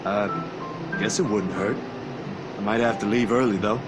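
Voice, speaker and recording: A man's voice: lowish voice, normal speed, close to an everyday voice, rough recording. An actor playing an awkward, polite male photographer who stammers and hesitates, in visual novel game dialogue. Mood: hesitant, awkward. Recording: music behind the voice.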